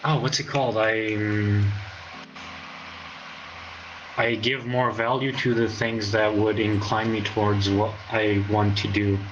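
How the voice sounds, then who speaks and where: A man's voice: on the low side, little pitch movement, slow pace, pauses often, muffled recording, background noise. A man in his twenties from Portugal with a Portuguese accent, a guest in a casual interview, hesitant and full of fillers. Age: 20s. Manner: hesitant, many fillers and restarts.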